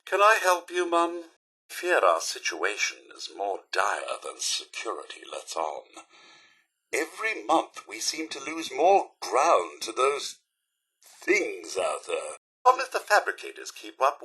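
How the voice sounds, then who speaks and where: A man's voice: mid-range voice, huge pitch swings, takes it slowly, bright, forceful tone, breathy edge, heavily acted. A man voicing a fussy, polite robot butler for a video game, with a British accent and prim, theatrical, formal phrasing. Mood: weary, gloomy. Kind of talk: video game character voice lines.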